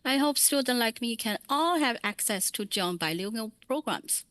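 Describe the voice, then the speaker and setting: Female meeting interpreter, slightly high, dry mic, calm and measured. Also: no room sound. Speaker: Mandarin interpreter. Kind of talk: consecutive interpreting at a public meeting.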